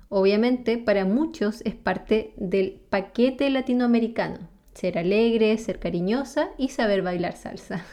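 A woman's voice: mid-range voice, warm tone. A woman from Chile with a Chilean accent, explaining ideas in a friendly way. She often asks the listener questions and laughs between points. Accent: Chilean Spanish. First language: Spanish. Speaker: vlogger. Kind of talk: explanatory talk to camera.

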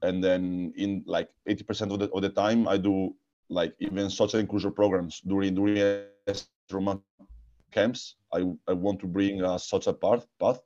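Low-voiced Italian man, halting speech. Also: flat delivery, mellow, highs cut off. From Italy. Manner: hesitant, many repeated words and restarts. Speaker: guest, futsal coach and technical director. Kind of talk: casual interview answers.

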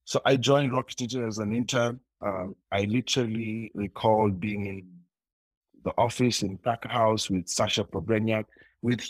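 A man's voice: fairly low pitch, fairly even intonation, normal speed, dry recording, poor audio. A young man talking as an interview guest, telling his story in a rambling way with frequent restarts. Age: young adult. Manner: rambling with many restarts and laughs.

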